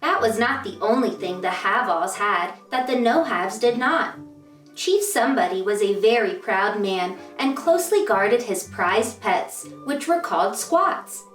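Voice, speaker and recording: A woman reading aloud to children as a story-time host, with an expressive, deliberate storytelling delivery. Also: mid-range voice. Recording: roomy echo, distant mic, music behind.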